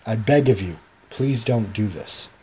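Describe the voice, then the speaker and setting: A man's voice: on the low side, lively intonation, measured pace, a little mellow, a little hiss or hum, voice pushed down. A man voicing a terrified begging boy. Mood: pleading. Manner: pleading.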